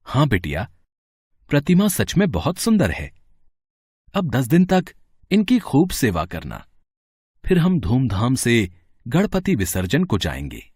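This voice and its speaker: A man playing a humble, kind-hearted, devout father in a devotional storybook tale, gentle and reverent. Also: medium pitch, wildly swooping pitch, measured pace, pauses often, slightly rough, no echo, studio quality, heavily acted.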